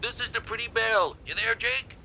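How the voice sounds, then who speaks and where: A man voicing a brisk boat radio caller with clipped delivery through a crackling radio filter. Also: very high-pitched, pushed and bright, voice pushed up, heavily acted. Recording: crackly thin radio sound, hiss.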